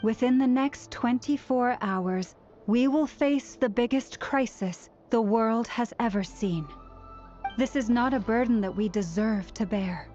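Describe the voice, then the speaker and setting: A woman playing a gentle, grave noblewoman who leads her people in a video game, speaking formally and deliberately. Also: a little high. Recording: dull game capture with music.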